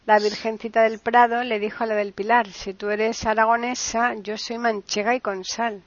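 A woman's voice: a little high, level tone, moderate pace, dry recording, lo-fi sound. A woman with an accent from Spain chatting casually as a radio co-host, attentive and agreeable. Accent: Spanish from Spain. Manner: attentive listener giving brief agreeing replies.

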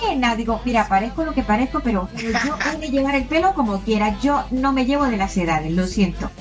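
A woman's voice: fairly high pitch, brisk. A middle-aged woman in casual chat, telling personal anecdotes and acting out quoted dialogue. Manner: animated retelling with quoted dialogue. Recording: faint music behind.

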